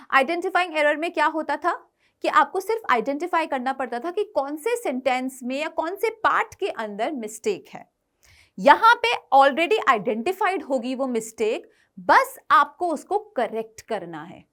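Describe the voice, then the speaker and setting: A woman's voice: sits high, fairly bright tone. A woman with an Indian accent teaching an exam-prep lesson in an instructive, emphatic way, repeating points and checking that students follow. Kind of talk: classroom-style lesson, mixing explanation with read-out tips.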